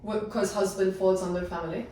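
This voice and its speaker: A woman's voice: medium pitch, steady tone, fairly quick, mellow, slight background noise. A woman chatting casually while leading a team table read. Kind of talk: casual chat. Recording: echoey room, distant mic.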